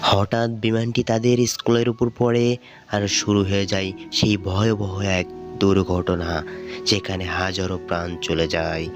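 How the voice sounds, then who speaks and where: A man's voice: sits low, monotone, measured pace. A man with a Bangladeshi accent reading scripted narration for a cartoon story. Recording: music under the voice. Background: background music.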